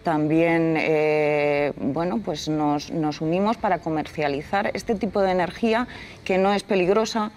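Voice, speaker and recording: Earnest woman explaining, slightly low voice. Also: steady tone, measured pace, darkish tone, slight background noise. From Castilla-La Mancha, Spain. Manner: earnest, explanatory, many fillers. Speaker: environmental activist guest. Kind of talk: studio interview. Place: TV studio set, leather armchair.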